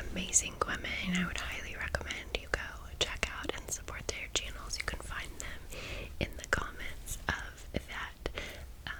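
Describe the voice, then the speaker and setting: A woman hosting ASMR in a gentle whisper, drawing out and repeating words and phrases. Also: on the low side, poor audio. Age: 30s. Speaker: ASMR video host.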